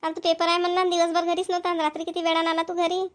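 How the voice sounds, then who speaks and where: A woman's voice: very high-pitched, monotone, racing. A woman playing a nagging village mother in a cartoon family comedy, with a Vidarbha Marathi accent. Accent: Maharashtrian, Vidarbha Marathi. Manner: nagging, scolding. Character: scolding village mother of bickering children.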